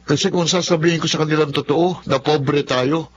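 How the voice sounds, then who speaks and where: An actor with a Filipino accent playing an ashamed, defiant young son in an emotional radio drama, speaking earnestly. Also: male voice, on the high side, steady tone, moderate pace, slight background noise, lightly acted. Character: ashamed, defiant young son hiding his family's poverty. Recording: muffled radio drama, music underneath.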